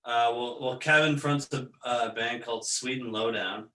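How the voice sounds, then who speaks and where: Guest musician, man, bright tone, loose rambling talk in a roomy space. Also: mid-range voice, normal speed, a little hiss or hum. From Ontario, Canada. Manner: rambling, lots of fillers and restarts. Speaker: band member guest. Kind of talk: casual chat.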